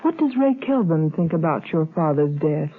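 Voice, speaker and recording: A loyal, probing young woman from a vintage radio play, earnest and direct, with a breathy voice. The sound is thin and tinny, like an old broadcast. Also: low voice, big pitch swings, moderate pace, full character voice. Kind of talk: 1940s radio acting.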